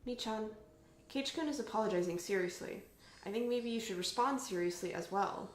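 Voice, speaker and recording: A woman's voice: medium pitch, brisk, a little hiss or hum, natural voice. A woman voicing an earnest, firm and polite teenage girl in a story reading. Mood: gently firm. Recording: roomy echo with music behind.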